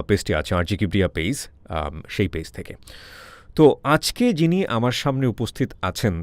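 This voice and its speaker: A man's voice: sits low, a little mellow, dry recording. A man with a Bangladeshi accent, hosting an interview show and speaking to his audience and guest. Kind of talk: interview hosting with short acknowledgements.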